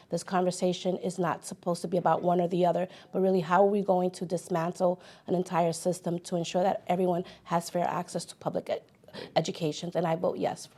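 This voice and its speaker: Female debate speaker, very flat intonation, soft dark tone, making a formal personal argument. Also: lowish voice.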